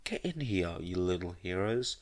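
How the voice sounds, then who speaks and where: A man's voice: low voice, animated, moderate pace. A man voicing an eccentric, showy male scientist with a theatrical, dry and teasing delivery in a fan-fiction audio story. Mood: showy welcome.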